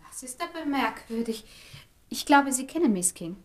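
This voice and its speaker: A woman's voice: sits high, expressive, normal speed. A woman acting in a German radio play as a courteous, formal and efficient secretary with an obliging manner. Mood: obliging, concerned.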